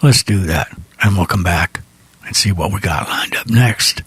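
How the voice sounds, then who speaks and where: A very husky man hosts a music radio show from a studio. His voice sits low and he talks in a folksy, rambling, unhurried way. The sound is close and dry. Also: a bit bright, dry recording. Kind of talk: casual music radio hosting.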